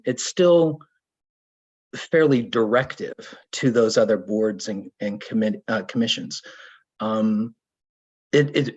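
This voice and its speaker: A hoarse man speaking up at a public hearing, raising deliberate, probing questions with some restarts over a video call. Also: fairly low pitch, darkish tone. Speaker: resident commenting at public hearing. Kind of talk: remote public meeting comment. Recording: dull lo-fi video call audio.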